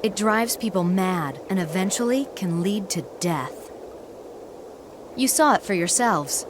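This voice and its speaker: A woman's voice: mid-range voice, expressive, a little slow, background noise, natural voice. A young woman acting a spirited, straight-talking goatherd in a video game cutscene. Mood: grave, warning.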